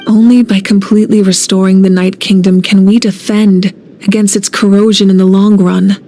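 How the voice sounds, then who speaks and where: An actress playing a resolute, composed warrior leader in a video game, speaking earnestly. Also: female voice, mid-range voice, little pitch movement, normal speed, mellow, slight background noise, close to an everyday voice. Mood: grave, resolute. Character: resolute warrior archon woman, calm, self-sacrificing leader. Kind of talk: video game cutscene acting.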